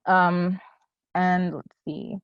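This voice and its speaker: A woman's voice: lowish voice, level tone, extremely slow, clear, resonant tone, no echo, lo-fi sound. A woman giving a casual presentation and answering questions at a virtual symposium, hesitant with many ums and restarts. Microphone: video call.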